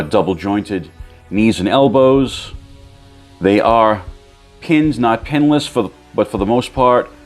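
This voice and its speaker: A hoarse man hosting a toy review video, chatty and informative. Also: on the low side.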